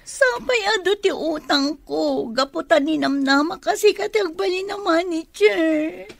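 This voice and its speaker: A woman's voice: extremely high pitch, measured pace, gravelly, full character voice. A woman with an Ilocano accent playing an anxious, whiny office worker in a radio comedy drama. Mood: worried, tearful. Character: anxious, whiny debt-ridden female office worker, the schemer's sweetheart.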